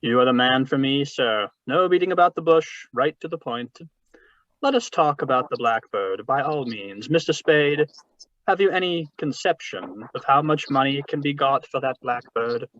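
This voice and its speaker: A man's voice: mid-range voice, expressive, a bit bright, no room sound, lightly acted. Radio noir villain, man acting: portly, genial, verbose schemer, formal diction, dry lo-fi call audio. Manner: florid, formal, deliberate, genial. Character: jovial, shrewd, verbose fat male crime boss. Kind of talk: 1940s radio drama read-through. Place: video call read-through.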